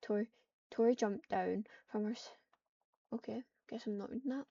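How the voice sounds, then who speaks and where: A woman narrating an improvised roleplay story, reading it aloud haltingly and stumbling over words. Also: a little high, gravelly, warm tone, husky, no room sound. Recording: dull, muffled lo-fi microphone.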